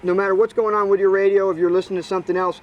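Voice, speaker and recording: A man's voice: high-pitched, little pitch movement, racing, muffled recording. A man giving casual, practical technical explanations outdoors as a hands-on expert. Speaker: boat rigger, expert guest. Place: outdoors on a boat.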